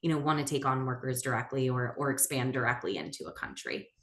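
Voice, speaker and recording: A woman's voice: rumbling low, animated, fast talker, a bit bright. A woman representing a business service, talking casually and professionally on a networking video call. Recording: roomy echo, faint background noise.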